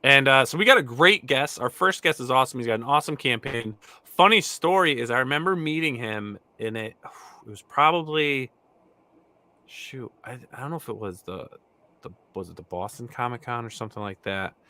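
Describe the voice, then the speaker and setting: Animated bright-voiced male podcast host. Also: mid-range voice, moderate pace. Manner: animated, enthusiastic, many restarts. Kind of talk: casual video podcast chat.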